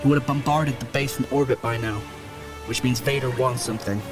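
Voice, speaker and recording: A man plays a young rebel hero in a space opera audio drama. His mid-pitched voice is earnest and urgent, steadfast under threat, with faint music behind. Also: fairly even intonation, fairly quick, close to an everyday voice. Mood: urgent, resolute. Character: earnest, resolute young male rebel Jedi.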